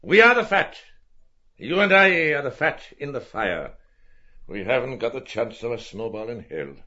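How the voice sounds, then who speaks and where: A man voicing a blustering, irascible male newspaper editor in an audiobook, loud and declamatory. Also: medium pitch, big pitch swings, moderate pace, muffled recording, voice pushed up.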